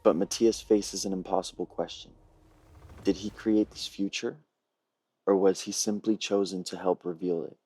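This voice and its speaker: A man presents a prepared pitch in a low register with a husky, rough-edged tone. He speaks in measured, earnest phrases, like a storyteller working from notes. The sound is dry, with very little room to it, as on a video call. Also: fairly even intonation, dark tone, no echo. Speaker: filmmaker pitching a feature film.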